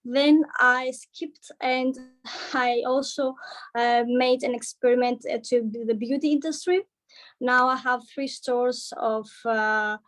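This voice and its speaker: Woman, high voice, keeps pausing w lots of ums, intro on a video panel. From Greece. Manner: hesitant, many fillers and restarts. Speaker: entrepreneur guest.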